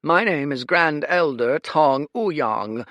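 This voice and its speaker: A man voicing a stern, gray-bearded older elder who speaks flatly and slowly. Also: on the high side, no room sound, voice pushed up. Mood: formal. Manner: flat, slow and formal. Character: flat-voiced stern older male elder.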